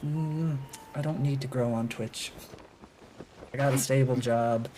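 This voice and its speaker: A man's voice: mid-range voice, steady tone, measured pace, warm tone, slight background noise, low-quality recording. A man chatting casually and in a rambling way as he streams his gameplay. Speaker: game streamer. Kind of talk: casual livestream gaming commentary. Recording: game music behind the voice. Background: video game music.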